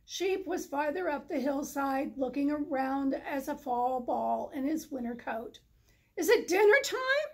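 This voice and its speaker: A woman's voice: high voice, unhurried, vocal fry, few pauses, warm tone. A middle-aged woman reading a children's story aloud as scripted narration. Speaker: storybook reader. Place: indoor library, in front of bookshelves.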